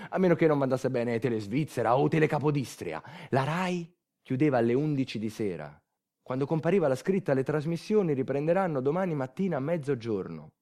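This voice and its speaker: A man's voice: medium pitch, a little mellow, lightly acted. A man acting a theatrical monologue as a wry everyman storyteller, colloquial. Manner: wry, colloquial, repeating catchphrases. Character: wry everyman storyteller recalling football and old times. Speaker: actor narrating a monologue.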